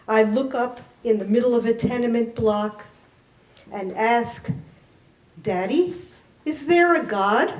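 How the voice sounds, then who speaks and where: A woman poet presenting and reading their work aloud to a live library audience, with careful, deliberate delivery. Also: fairly high pitch, darkish tone. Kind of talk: dramatic poetry reading with spoken introduction. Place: indoor library reading event. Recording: roomy, echoing live event recording.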